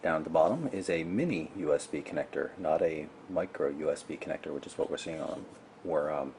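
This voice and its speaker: Low-voiced man reviewing a gadget. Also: a little fast, a little mellow. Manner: matter-of-fact, explanatory. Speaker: tech reviewer presenting a gadget unboxing. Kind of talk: casual product demonstration. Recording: clear background noise.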